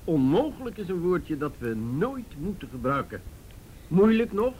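Chummy, patronising police chief, very animated. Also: male voice, a little high, moderate pace, poor audio. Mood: placating. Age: older. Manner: avuncular, hesitant, condescending. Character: jovial, patronising older male police chief, evasive and self-indulgent. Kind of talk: radio drama acting. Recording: radio drama with faint background noise.

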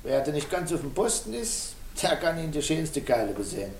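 An actor playing a smug, gossipy schemer in a radio drama, with a breezy, knowing way of talking. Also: male voice, fairly high pitch, very animated, brisk, roomy sound, slight background noise. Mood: smug amusement. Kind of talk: naturalistic radio drama acting.

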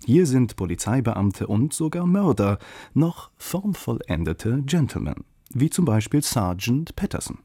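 A husky, mellow male voice presents a radio play from a script. The delivery has lively pitch movement and sits in a dry studio sound. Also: no room sound. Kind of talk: scripted introduction.

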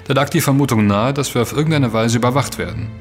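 An actor playing a self-assured, intense investigator in a German audio drama, speaking emphatically and accusingly, with dramatic delivery. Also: male voice, mid-range voice, fast talker, lightly acted. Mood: confident. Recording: long reverb with music underneath.

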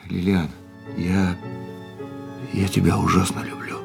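An actor playing a devoted man in a radio play, eager and tender in a halting way. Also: sits low, level tone, vocal fry, music in the background, full character voice. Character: adult man, ardent, devoted lover.

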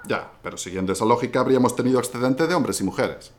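A man playing a kindly, fatherly army officer in a historical audio drama, formal and gentle. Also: mid-range voice, animated, quick pace, a little hiss or hum, natural voice. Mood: paternal concern.

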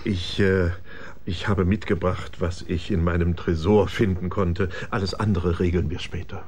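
Radio drama role: effusive, jittery male businessman played by an actor, lowish voice, repeats himself, slight noise behind him. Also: normal speed, lightly acted. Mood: reassuring. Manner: excitable, stammering, repeats himself. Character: fussy, nervous, effusive insurance businessman.

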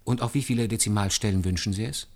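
A man's voice: fairly low pitch, fast talker, lightly acted. An actor playing an earnest, deferential man who patiently explains hand arithmetic step by step in a German radio play. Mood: patient, assured. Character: earnest, deferential male calculator demonstrating hand arithmetic to superiors.

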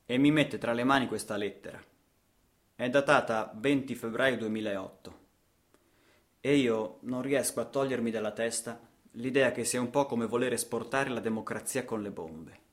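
A man's voice: medium pitch, moderate pace, natural voice. An actor playing an impassioned male traveller in a radio theatre monologue, telling his journey theatrically with insistent repetition. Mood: sombre. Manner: theatrical, insistent repetitions, swings from hushed to shouting. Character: impassioned male traveller recounting a trip across the savanna. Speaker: actor, storyteller.

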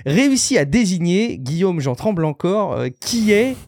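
A man's voice: sits high, big pitch swings, moderate pace, a little mellow, dry recording. A man hosting a tech podcast, talking with enthusiasm and turning to the listeners directly. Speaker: podcast host. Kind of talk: casual tech podcast chat.

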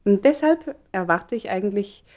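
A woman's voice: mid-range voice, lively intonation, normal speed, darkish tone, close to an everyday voice. A woman playing a shrewd, poised lady in a classic German radio play, speaking articulately with ironic politeness. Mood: knowing.

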